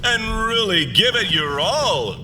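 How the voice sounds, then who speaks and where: A man's voice: high voice, expressive, slow pace, slight background noise, heavily acted. A man playing an alien monster who acts as an over-cheerful, demented host of a children's radio show, in a theatrical sing-song delivery. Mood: cheery hosting. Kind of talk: fiction podcast acting, parody kids' radio show. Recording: long reverb, loud music behind.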